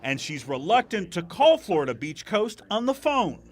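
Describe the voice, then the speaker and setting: A male investigative reporter narrates a TV news story. His voice sits high for a man and swings widely in pitch, giving the report an animated delivery. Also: moderate pace, breathy edge.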